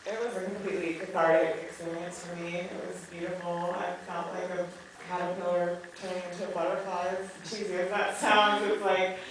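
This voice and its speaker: A woman's voice: on the low side, level tone, a little fast, hardly any pauses. A woman from a film giving an earnest, unscripted personal reflection at an audience question session. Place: movie theater stage Q&A. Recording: echoey theater, distant mic, faint noise.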